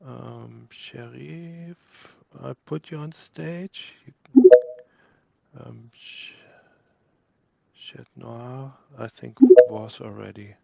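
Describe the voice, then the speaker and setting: A man's voice: medium pitch, very slow, vocal fry, warm tone, dry recording. A man hosting an online audio chat, bringing people on stage in an easygoing way, heard on dull, dry call audio. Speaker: host.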